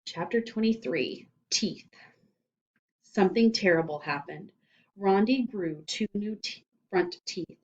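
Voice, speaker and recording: A woman's voice: mid-range voice, slow pace, darkish tone. Woman reading a children's book, slightly muffled. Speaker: storybook reader. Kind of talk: expressive children's book read-aloud. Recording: slightly dull, lo-fi sound.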